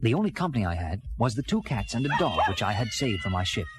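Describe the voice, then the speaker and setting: A man's voice: mid-range voice, huge pitch swings, fast, very noisy. An actor playing an earnest castaway sea captain, telling his story in theatrical first-person storybook narration. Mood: reflective. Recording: music bed under early-1980s children's record. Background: dramatic background music.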